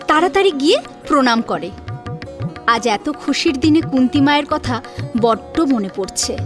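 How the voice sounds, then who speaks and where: A woman narrating a scripted audio story in a dramatic storytelling style. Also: sits high.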